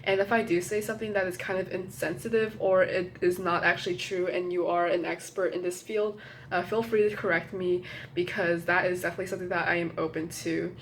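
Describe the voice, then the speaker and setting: A young woman with a low, slightly nasal voice talks casually into her phone camera as a college student vlogger. She hesitates, apologizes, and restarts her sentences often. A faint noise sits under the recording. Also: level tone, a little fast, low-quality recording. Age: young adult. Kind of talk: casual rambling chat to camera.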